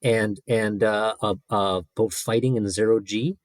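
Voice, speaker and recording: A man asking casual podcast interview questions, haltingly, rephrasing as he goes. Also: lowish voice, fairly even intonation, no echo, clean studio sound.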